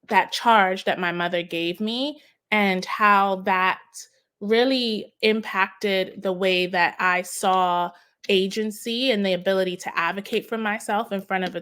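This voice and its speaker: A woman's voice: mid-range voice, slow pace, a bit bright, clear, resonant tone. Reflective woman telling her story. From United States, of Haitian parents. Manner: reflective, searching, with fillers and restarts. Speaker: guest, educator. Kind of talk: conversational interview storytelling.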